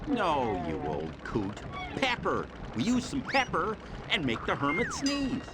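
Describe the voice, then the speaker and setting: A man's voice: a little high, wildly swooping pitch, unhurried, noisy background. A man voicing a peevish yet gung-ho superhero sidekick in a cartoon game, grumbling and melodramatic. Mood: exasperated. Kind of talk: cartoon video game acting.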